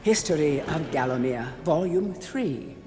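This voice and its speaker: An actor playing a grave chronicler of an old fantasy kingdom, narrating a game's storybook history in a solemn, theatrical way. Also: male voice, fairly high pitch, animated, measured pace. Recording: long hall reverb, music underneath. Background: music.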